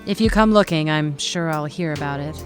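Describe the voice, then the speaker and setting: A woman's voice: deep voice, a little fast, faint music behind, natural voice. A woman playing a tough-minded, wry adventurer in an audio drama, speaking in a direct, dry way. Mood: frank, tender.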